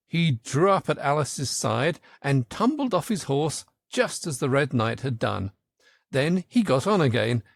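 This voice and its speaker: A man narrates a children's storybook with a highly animated, expressive delivery. His voice sits at a middle pitch and moves around a lot. The recording is very dry. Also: no room sound. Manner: expressive, theatrical. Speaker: audiobook narrator.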